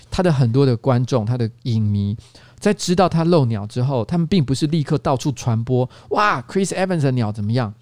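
Animated, fast-talking Taiwanese man. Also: mid-range voice, dry recording. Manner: animated storyteller who acts out quoted reactions. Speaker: podcast host and local politician. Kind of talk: casual comedic chat.

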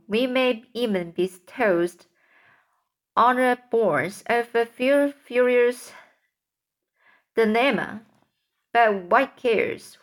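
A boastful storybook centipede rattles off nonsense verse in a sing-song lilt, read by a woman. The delivery is slow and deliberate, stumbling over a word here and there. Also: a little high. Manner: sing-song rhyming recital. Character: excitable boastful male centipede.

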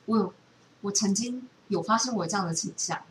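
A woman's voice: medium pitch, brisk. A woman, chatting casually as a co-host. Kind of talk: casual chat. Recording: distant mic, clear background noise.

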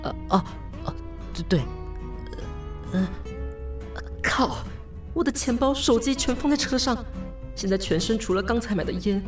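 A woman's voice: on the high side, normal speed, long pauses, over music, natural voice. A woman with a Taiwanese Mandarin accent playing a flustered, self-mocking young woman in an audio drama, hesitant and polite aloud, blunt when muttering to herself. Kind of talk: audio drama acting with spoken inner monologue.

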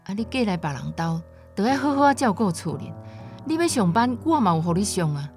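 A stubborn older grandmother, played by a woman, nagging and reproachful. Her pitch swings up and down as she scolds. Soft music plays behind her. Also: big pitch swings, brisk. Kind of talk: amateur radio drama acting.

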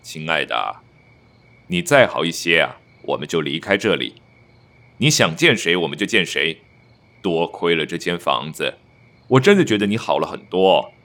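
A man's voice: low voice, sing-song, normal speed, fairly bright tone. A man acting a controlling, patronising young husband who sounds outwardly tender, in an audio drama. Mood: tender, condescending.

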